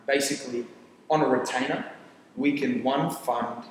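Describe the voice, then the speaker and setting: A young man talks loosely through his business in a roomy studio, the echo ringing out with a long reverb tail. He jumps between lists and restarts phrases as he goes, and a faint hiss sits under his mid-pitched voice. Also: steady tone. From Papua New Guinea. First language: Tok Pisin. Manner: rambling, restarts phrases, lists ideas rapidly. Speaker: startup founder. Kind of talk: casual interview talk. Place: studio set with armchairs. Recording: echoey room with long reverb.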